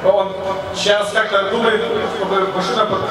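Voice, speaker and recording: A man answers slowly, pausing often to find words. His fairly high voice carries through booming speakers from far off. A crowd is heard behind. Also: level tone. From Lithuania. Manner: hesitant, with many pauses and fillers. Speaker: drift driver. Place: outdoor drift track. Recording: echoey PA sound from far off with crowd.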